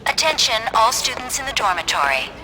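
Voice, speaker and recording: A woman's voice: on the high side, sing-song, fairly quick, over loud music, rough recording. A woman playing an official dormitory announcer in a video game, making a formal scripted announcement.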